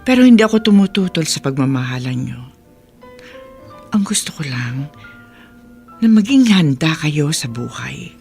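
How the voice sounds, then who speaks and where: A caring, firm middle-aged mother in a radio drama. She speaks in a measured, earnest, motherly way. Gentle music plays behind her. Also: low voice, big pitch swings, vocal fry, exaggerated voice. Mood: gently firm.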